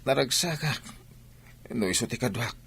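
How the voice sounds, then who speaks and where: An evasive young Filipino two-timer. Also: male voice, mid-range voice, expressive, moderate pace, a bit bright, breathy edge, no room sound, full character voice. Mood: carefree, defensive. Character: carefree two-timing young male student, evasive. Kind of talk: Ilocano comedy radio drama acting.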